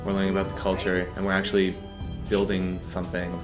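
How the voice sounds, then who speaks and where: Young male student, deep flat voice, rambles and restarts, music underneath. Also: fast talker. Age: young adult.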